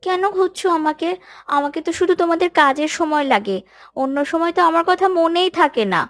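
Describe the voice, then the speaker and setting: A woman's voice: very high-pitched, fairly quick, dry recording, studio quality, voice pushed up. A woman voicing a sulky, resentful little schoolgirl in a cartoon, in a pouty manner. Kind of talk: cartoon dialogue.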